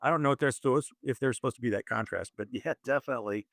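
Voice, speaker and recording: A man discussing films conversationally as a podcast host, in a halting, self-correcting way. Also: medium pitch, vocal fry, fairly bright tone, a little hiss or hum. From moved to Zambia at eleven, schooled in Kenya.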